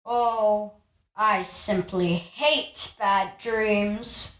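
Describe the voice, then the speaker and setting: A woman's voice: mid-range voice, steady tone, very slow, a bit bright. A woman playing a fussy, gushing, chatty investigator with an affected manner in a comic radio play. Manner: affected, effusive. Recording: echoey room.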